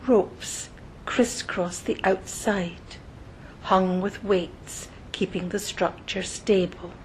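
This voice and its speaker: A woman narrating a scripted guided meditation in a soothing, measured manner, pausing between short phrases, with soft breathy phrase endings. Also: steady tone, rough recording. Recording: noticeable low rumbling background noise.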